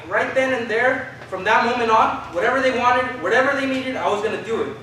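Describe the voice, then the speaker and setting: Man playing a high-voiced young Chicano storyteller, echoey. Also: a little fast. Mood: awed, earnest. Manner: colloquial, slangy storytelling with Spanish words. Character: Philip, spirited Chicano youth recalling 1970 activism. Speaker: stage reader in a documentary play. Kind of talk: theatrical monologue, staged reading. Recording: echoey room from far off, noisy.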